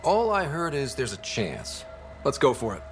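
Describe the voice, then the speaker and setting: A young male explorer in a sci-fi video game. His delivery moves around a lot in pitch, upbeat and resolute, with music behind him. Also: wildly swooping pitch, moderate pace. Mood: determined.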